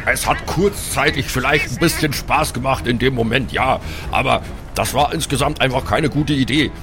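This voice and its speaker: A man's voice: medium pitch, moderate pace, natural voice. A man playing a reproachful, pious dwarf adventurer who complains in quaint, old-fashioned exclamations over music. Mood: whiny protest.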